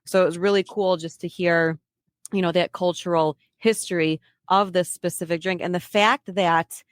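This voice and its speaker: A woman's voice: fairly low pitch, steady tone, moderate pace, no room sound, clean studio sound. A woman hosting a podcast interview in a casual, chatty way, often restarting her phrases. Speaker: podcast host.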